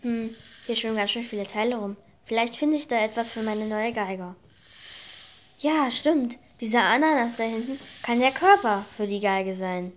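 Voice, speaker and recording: A young female performer playing a resourceful musician girl in an amateur radio play, thinking aloud haltingly. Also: fairly high pitch, a little hiss or hum, lightly acted. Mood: pondering.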